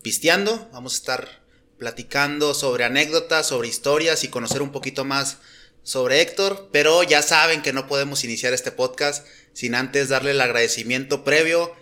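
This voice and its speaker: Bright-voiced podcast host. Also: male voice, mid-range voice, normal speed. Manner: laughing, slangy, full of fillers. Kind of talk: casual podcast chat.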